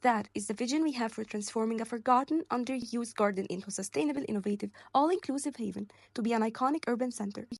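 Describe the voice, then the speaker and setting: A woman's voice: on the high side, quick pace, gravelly, hardly any pauses, dry recording. Woman, 20, student presenter, scripted and earnest, dry sound. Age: 20s.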